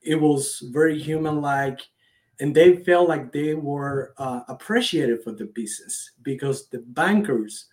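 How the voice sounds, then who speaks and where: A man's voice: on the high side, unhurried. A man from Cuba with a Cuban accent, a native Spanish speaker, answering interview questions with earnest enthusiasm. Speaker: guest, startup founder.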